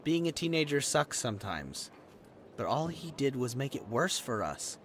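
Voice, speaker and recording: An animated young adult man voicing a protective, judgmental friend in an audio drama. The delivery is casual and blunt, with lively pitch swings over faint ambient noise. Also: normal speed, natural voice. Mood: exasperated. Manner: blunt, sarcastic, chatty. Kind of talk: roleplay audio drama, conversational acting.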